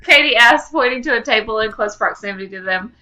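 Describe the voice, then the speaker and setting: Bright, forceful woman podcast co-host. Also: on the high side, fast talker, highs cut off. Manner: slangy, informal, expressive. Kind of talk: casual chat and animated reading aloud of fan fiction.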